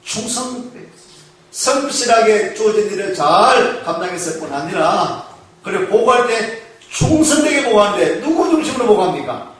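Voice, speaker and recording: A male pastor preaching a Sunday sermon, asking the congregation questions and slowing down to stress key phrases. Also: high voice, lively intonation, a bit bright. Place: church sanctuary. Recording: echoey church hall, mic far off.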